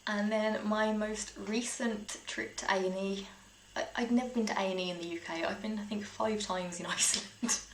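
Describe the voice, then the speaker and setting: A woman's voice: medium pitch, fairly even intonation, normal speed, hardly any pauses. A young British woman telling personal stories in a casual, chatty, informal way, as a vlogger would. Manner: chatty, informal, with small laughs through sentences. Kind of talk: casual personal storytelling to camera. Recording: roomy echo, faint background noise.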